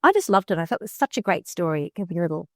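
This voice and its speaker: A woman co-hosting a travel podcast, chatting in a lively, playful, emphatic way. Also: fairly low pitch, very fast, dry recording. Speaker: podcast co-host. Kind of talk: casual chat.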